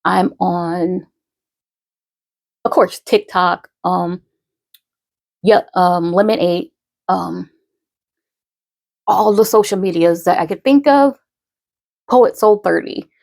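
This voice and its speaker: A woman in her thirties, a poet and podcaster, talking casually to her followers in a slow, rambling way full of fillers and restarts. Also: on the low side, creaky, darkish tone, no echo, studio quality. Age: 30s.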